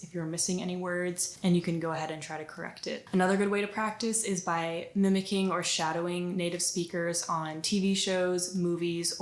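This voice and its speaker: Young female vlogger, low-pitched, upbeat and encouraging, reverberant room. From United States. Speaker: language-learning vlogger. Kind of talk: scripted talk to camera. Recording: echoey room.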